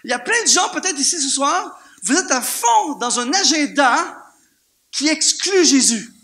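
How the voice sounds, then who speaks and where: A man with a Canadian French accent preaching an animated sermon to a church congregation, firing off rhetorical questions and repeating words for emphasis. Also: extremely high pitch, a little slow. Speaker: guest preacher. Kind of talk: lively sermon.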